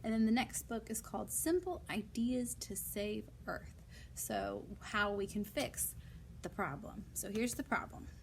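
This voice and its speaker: A woman with a medium-pitched voice reads children's non-fiction aloud. She breaks up the reading with casual asides and simple questions aimed at young listeners. There is some noise behind her voice. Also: measured pace, vocal fry, poor audio. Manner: simple child-directed explanations and rhetorical questions.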